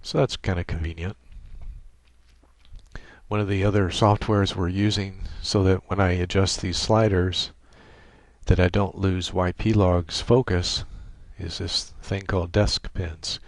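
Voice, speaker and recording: A man giving an informal, matter-of-fact technical software walkthrough as he demonstrates a setup. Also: low voice, fairly even intonation, a little slow, no room sound, studio quality. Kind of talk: informal screen-share tutorial narration. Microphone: desktop microphone monitored through computer audio. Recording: clearly noisy home microphone recording.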